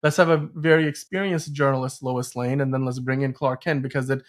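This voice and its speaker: A man's voice: medium pitch, fairly even intonation, brisk. A man co-hosting a podcast, talking about film and TV in a casual, enthusiastic, rambling way. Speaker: podcast co-host.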